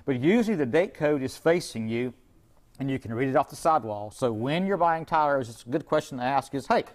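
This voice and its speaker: A man's voice: medium pitch, animated, fairly quick. A middle-aged man speaking as a car repair expert guest in an informal TV interview, explaining things in a folksy, conversational way.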